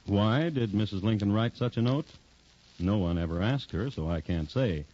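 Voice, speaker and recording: An actor playing a wry, deadpan true-crime host, narrating a scripted 1950s radio show with dry irony. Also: male voice, fairly low pitch, normal speed, natural voice. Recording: dull 1950s radio recording.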